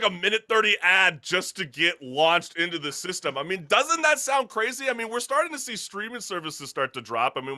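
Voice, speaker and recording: High-voiced, bright, emphatic male talk show host. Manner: emphatic, rhetorical questioning, casual slang and mild swearing. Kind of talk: opinionated conversational talk show hosting.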